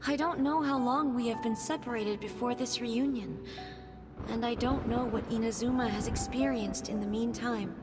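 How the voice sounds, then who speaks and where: A woman voicing the gentle, wistful spirit of a departed goddess in a video game, tender and formal. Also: on the high side, moderate pace, natural voice. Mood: wistful, caring. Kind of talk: video game drama dialogue. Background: game music.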